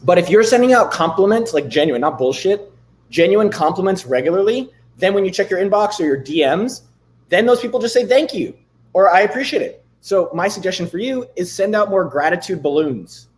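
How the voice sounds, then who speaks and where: A man in his thirties hosting a live stream, chatting loosely and swearing casually, a bit hoarse from losing his voice. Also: high-pitched, expressive, brisk. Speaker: livestream host. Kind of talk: casual livestream chat with screen demos.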